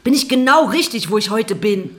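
A woman's voice: mid-range voice, lively intonation, fairly quick, natural voice. An actress playing a blunt, weary young working woman in a radio drama, colloquial and no-nonsense. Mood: fed up. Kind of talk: German radio drama acting.

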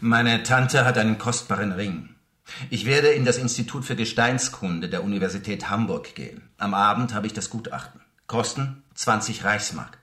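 Male actor as anxious novelist, radio drama, precise, insistent, slightly low voice, roomy echo. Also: normal speed, fairly bright tone, lightly acted. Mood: explaining. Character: anxious, insistent male novelist turned screenwriter, short of money. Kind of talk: German radio drama acting. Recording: roomy, echoing interior sound.

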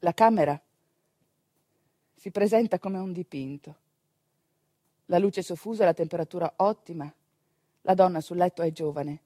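A woman's voice: lowish voice, normal speed, pauses often, mellow, breathy edge, no room sound. A woman telling a theatrical story, with conversational asides to her listeners. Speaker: stage actress narrating a monologue.

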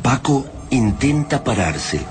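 An actor as the grave narrator of a science fiction radio drama, speaking in measured, suspenseful short phrases. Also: male voice, mid-range voice, big pitch swings. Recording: loud music under the narration.

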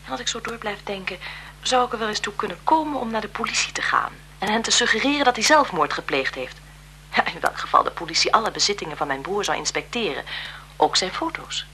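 An actress playing a frail-seeming, calculating blackmailer in a radio drama, deferential and insinuating in manner. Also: female voice, mid-range voice, lively intonation, fast talker, sharp tone. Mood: menacing, smooth. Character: frail-seeming, calculating female blackmailer, photographer's bereaved sister. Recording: 1970s radio recording with noise.

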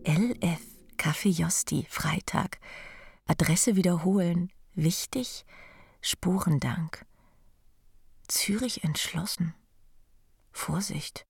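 Husky woman, clipped report delivery. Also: fairly low pitch, takes it slowly, pauses often, a little mellow, no room sound, studio quality, full character voice. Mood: hushed, secretive. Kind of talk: radio drama, terse report and message reading.

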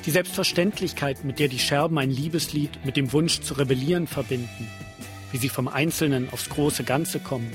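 A man's voice: medium pitch, moderate pace. A man hosting a podcast, reading scripted first-person documentary narration. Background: guitar music.

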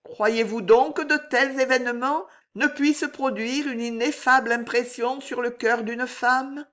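A woman's voice: on the high side, fairly even intonation, measured pace, fairly bright tone, voice pushed up. A woman reading aloud and voicing a young woman courtier who is trembling, ardent and scheming, pleading with a king. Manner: breathless, pleading, emotional.

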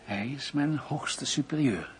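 A man's voice: medium pitch, expressive, a little slow, close to an everyday voice. An actor playing a cautious, reserved male police commissioner in a radio drama, formal and businesslike. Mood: matter-of-fact.

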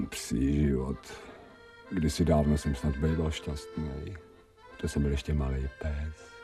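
An actor in a radio drama playing a grumbling, cynical stray dog who thinks aloud like a human in colloquial speech. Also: male voice, a bass voice, darkish tone. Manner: colloquial Czech, muttering, pleading when frightened. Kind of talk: radio drama acting, inner monologue. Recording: music behind the voice.

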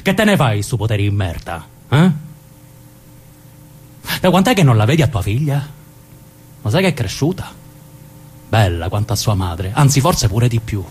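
An actor playing a dead mobster, bitter and reflective, reproaching in a southern Italian accent in a stage drama. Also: male voice, big pitch swings, normal speed, long pauses, a little mellow, husky. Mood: reproachful. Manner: bitter, confiding, profane. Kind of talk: dialect stage drama acting. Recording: noisy recording with low rumble.

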